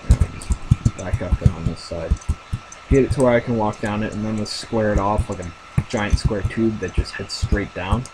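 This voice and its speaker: Deep-voiced man rambling over gameplay. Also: moderate pace, noisy background. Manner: rambling, thinking aloud with many fillers. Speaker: gamer narrating his play. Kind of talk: casual gameplay commentary.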